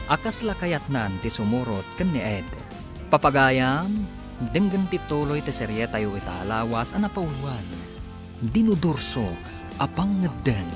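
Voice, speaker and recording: A man announcing and narrating a radio drama in a theatrical, declamatory scripted style. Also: mid-range voice. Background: music.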